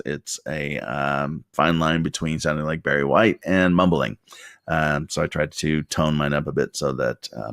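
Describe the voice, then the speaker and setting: A man with a very deep voice giving a rambling, casual tutorial at his desk into a desktop mic, with lots of fillers and wry asides. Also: gravelly, no room sound, studio quality. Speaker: video creator giving tips. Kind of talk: casual talking-head tutorial. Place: home desk. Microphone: desktop condenser mic.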